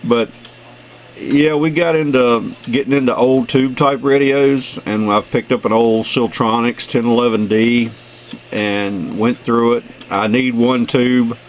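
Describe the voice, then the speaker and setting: A man's voice: medium pitch, steady tone, measured pace, dull audio, a little hiss or hum. An older American man chatting casually on amateur radio in a folksy, rambling way. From United States. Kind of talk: casual chat over the radio. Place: at a home base radio station. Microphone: desk radio microphone in the room.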